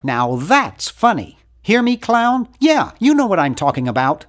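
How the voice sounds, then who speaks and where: A man's voice: high-pitched, big pitch swings, unhurried. A man voicing a nerdy, timid, fussy young hero of a cartoon adventure game, with a prim, wry, bookish delivery. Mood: mock tough. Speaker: game character voice actor.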